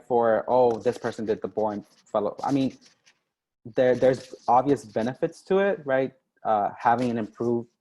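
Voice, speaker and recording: A man's voice: medium pitch, warm tone, rough recording. Conversational young man, video call. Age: young adult. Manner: conversational, many ums and "right?" tags. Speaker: diplomat and guest speaker. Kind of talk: informal online info session.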